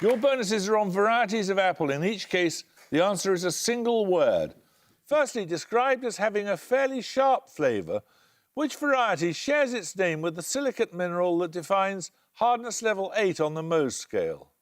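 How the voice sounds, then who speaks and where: A man hosting a television quiz show, reading introductions and questions in a measured, precise way. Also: high voice, big pitch swings. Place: television studio.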